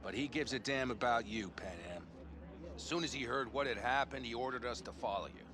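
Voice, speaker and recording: Calm, loyal nomad man , voiced by an actor. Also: medium pitch, a little fast, crowd noise, lightly acted. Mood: gentle. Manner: calm, reassuring.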